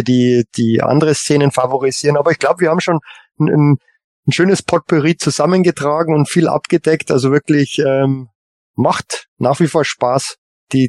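A man talking casually on a podcast, halting often as he builds his point or story. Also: mid-range voice, a little mellow, no room sound, studio quality. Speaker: podcast panelist. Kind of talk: casual podcast chat and anecdote telling.

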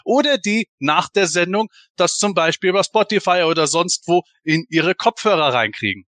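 A man's voice: high-pitched, expressive, moderate pace, a bit bright, no room sound. A man hosting a casual live fan podcast in a chatty, bantering way. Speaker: podcast host.

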